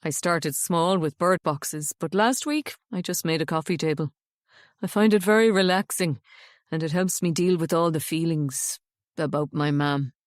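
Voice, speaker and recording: A woman voicing a gentle young man, kind and grieving, speaking softly. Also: sits low, normal speed, no room sound, studio quality, voice pushed down, natural voice. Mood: relaxed, chatty.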